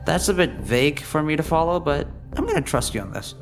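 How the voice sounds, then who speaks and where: A man's voice: on the high side, sing-song, brisk, over music. A man playing an earnest, cautious detective partner with dry sarcasm in a scripted audio drama. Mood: doubtful, trusting. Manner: earnest, questioning, lightly sarcastic.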